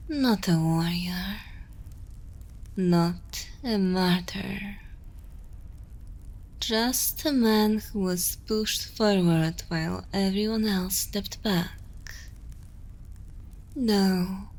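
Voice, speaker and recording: Slow, hushed evil queen, acted by a woman. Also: mid-range voice. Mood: pleased, seductive. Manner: slow, deliberate, hushed delivery slipping into whispers; commanding, condescending, teasing. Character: seductive evil queen, imperious, predatory, coolly amused. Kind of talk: ASMR roleplay, intimate dramatic monologue.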